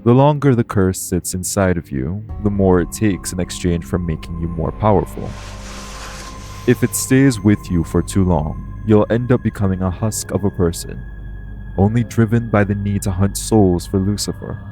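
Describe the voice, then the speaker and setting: A man playing a calm, protective guardian angel in an audio roleplay, speaking in a formal, measured, earnest way. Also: low voice, soft background music, lightly acted. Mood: solemn, explaining. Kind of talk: audio roleplay acting, addressed to the listener.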